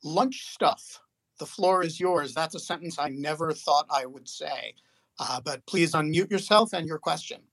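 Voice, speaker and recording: A man hosting an online audio chat, calling on listeners in a halting way with many fillers. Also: a little high, creaky, fairly bright tone, no room sound, rough recording. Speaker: host.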